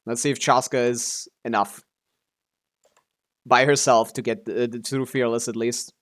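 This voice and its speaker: A man's voice: mid-range voice, level tone, a little slow, fairly bright tone. Man musing casually as he streams a game. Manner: muses aloud, thinking through options, mild swearing.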